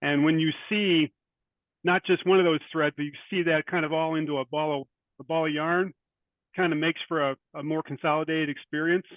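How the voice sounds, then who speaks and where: A man's voice: fairly high pitch, steady tone, fairly quick, no echo. A man in his fifties or older answering interview questions as an author, hesitant and reflective. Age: 50s or older. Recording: tinny phone-like line, dry.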